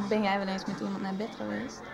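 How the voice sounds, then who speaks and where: A woman's voice: mid-range voice, fairly even intonation, moderate pace, creaky, over music, natural voice. An actress playing a frank, teasing young woman in a 1990s radio soap. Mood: teasing, indignant. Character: frank, teasing young female best friend.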